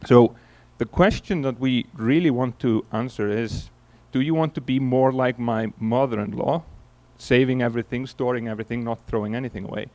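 A man in his forties from Iceland with an Icelandic accent, giving a relaxed conference keynote into a handheld mic, telling stories with many fillers and restarts. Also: mid-range voice, normal speed, few pauses, lo-fi sound. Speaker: keynote speaker. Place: large conference hall on stage. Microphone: handheld microphone.

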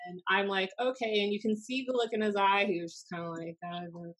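An American woman in her thirties telling anecdotes casually, in a blunt, animated, sweary way, as a podcast host. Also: medium pitch, fairly quick. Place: small room at home, indoors. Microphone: desk podcast microphone on video call.

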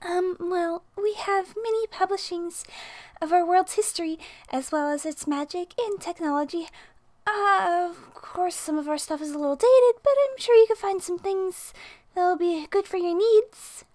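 A woman's voice: very high voice, normal speed, a little mellow, no room sound, voice pushed up. A woman playing a polite, bashful attendant, hesitant and eager to help, in a voice demo. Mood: shy, helpful. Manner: hesitant, helpful. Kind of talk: voice acting demo reel.

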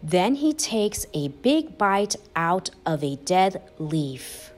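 Slow, expressive woman reading a picture book. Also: lowish voice, faint music behind. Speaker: storyteller and channel host. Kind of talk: children's picture book read-aloud.

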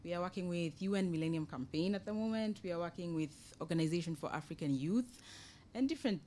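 A young woman with a Kenyan accent gives an informal panel presentation as an NGO project officer, explaining in a measured way with hesitant pauses. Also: on the low side, mellow, a little hiss or hum. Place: conference room, indoors, panel table. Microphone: table microphone on a stand.

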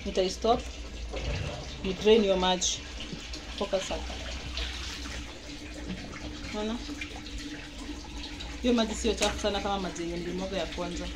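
A woman's voice: medium pitch, fairly even intonation, normal speed, pauses often. A Kenyan woman filming a casual how-to on her phone in a small home laundry area, chatting and pointing things out. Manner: chatty, mixes Swahili and English, pointing things out. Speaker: vlogger. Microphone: handheld phone camera. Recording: noisy with running water.

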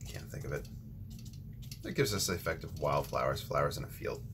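Low-voiced man teaching watercolor. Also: a little fast, noisy background. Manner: relaxed, thinking aloud with ums and restarts. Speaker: watercolor tutorial host. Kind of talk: casual painting instruction.

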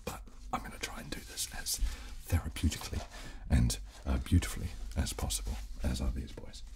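A man in his thirties with a very deep voice, often slipping into a whisper. He hosts a relaxed ASMR chat on a close studio mic, sounding polite and self-deprecating. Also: a little fast. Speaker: host. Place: small dark indoor studio. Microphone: close studio microphone at a table.

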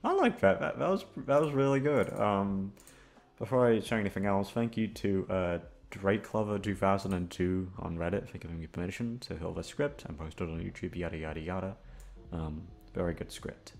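A man's voice: low-pitched, normal speed, creaky, a little mellow. A young man chatting casually to his listeners, rambling and jokey, often tongue-tied and self-deprecating. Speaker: voice actor, channel creator. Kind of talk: casual outro chat.

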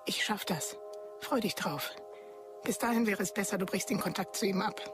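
Casting: actress, mid-pitched, intense, secretive schemer, hushed delivery, music underneath. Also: female voice, moderate pace, lightly acted. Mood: urgent, resolute. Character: determined, secretive, controlling woman activist. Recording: music behind the voice.

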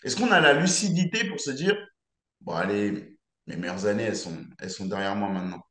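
A man's voice: fairly low pitch, lively intonation, fairly quick, a bit bright. A man in his thirties hosting an interview over a video call, asking probing, conversational questions. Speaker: interview host. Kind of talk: casual interview. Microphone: video call webcam. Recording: roomy webcam audio.

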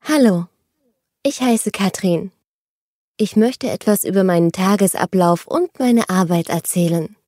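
Mellow-voiced woman reading a learner text. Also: medium pitch, measured pace, dry recording, clean studio sound. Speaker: reader of a language-learning text. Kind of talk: clear scripted reading for learners.

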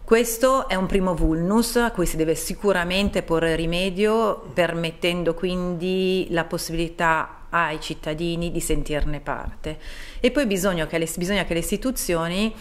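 Low-voiced woman, measured and earnest. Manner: measured, earnest explaining with frequent pauses. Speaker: town mayor, guest. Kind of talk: studio interview. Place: TV studio.

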